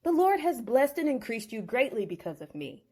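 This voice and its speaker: A woman's voice: fairly high pitch, big pitch swings, moderate pace, darkish tone, husky. Crafty man talking his way to a deal, persuasive; woman narrator voices him. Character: crafty young shepherd Jacob, bargaining.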